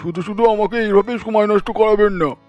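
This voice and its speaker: An actor voicing an impatient, grumbling vegetable seller in a cartoon, brusque with a customer. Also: male voice, sits high, a little fast, dry recording, muffled recording, faint music behind, close to an everyday voice. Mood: exasperated.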